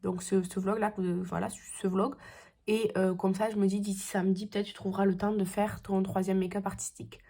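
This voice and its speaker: A woman's voice: medium pitch, little pitch movement, fairly quick, mellow. Stuffy-nosed young woman rambling casually. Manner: chatty and meandering, full of hesitations. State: stuffy nose from a cold. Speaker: beauty vlogger. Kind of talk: casual vlog chat.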